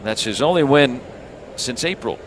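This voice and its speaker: An American man doing live radio play-by-play of a baseball game in short, measured phrases with asides between pitches. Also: expressive, slight background noise, rough recording. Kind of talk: live sports commentary with ad-libbed asides.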